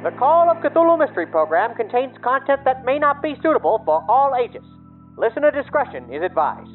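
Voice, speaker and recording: A woman's voice: high voice, sing-song, fairly quick, narrow, tinny audio, faint music behind. A woman reading a scripted announcement through an old radio filter. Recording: thin, band-limited radio sound.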